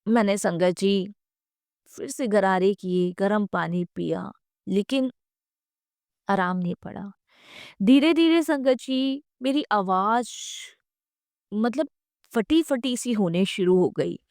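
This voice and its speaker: A woman's voice: medium pitch, slow, long pauses, darkish tone, no room sound, clean studio sound. A woman reading scripted devotional narration in a reverent, earnest manner, often repeating phrases for emphasis.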